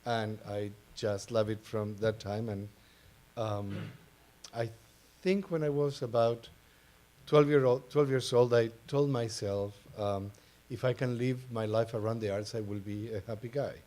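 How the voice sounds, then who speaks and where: A man's voice: lowish voice, a little slow, dark tone. A man with an Argentine accent, a native Spanish speaker, speaking hesitantly and informally as a panelist. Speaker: panelist, art advisor. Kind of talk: informal panel talk, personal introduction.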